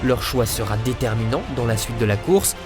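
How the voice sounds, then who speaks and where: A male host narrating a competition show in a scripted, suspense-building voice-over. His pitch sits mid-range, and music plays clearly underneath. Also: normal speed. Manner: suspenseful, announcing.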